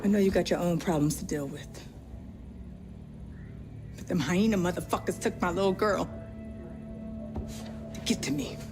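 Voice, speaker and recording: A woman's voice: lowish voice, normal speed, long pauses, natural voice. An actress playing a proud, worried mother and tough settlement leader in a video game, grave and resolute, speaking colloquially. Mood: grave, pleading. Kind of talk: dramatic video game cutscene acting. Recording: music behind the voice.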